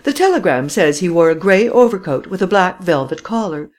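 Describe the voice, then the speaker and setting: A woman reading an audiobook voices a police commissary who asks plain, measured questions. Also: lowish voice, lively intonation, darkish tone, close to an everyday voice. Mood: matter-of-fact. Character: sober male police commissary, matter-of-fact.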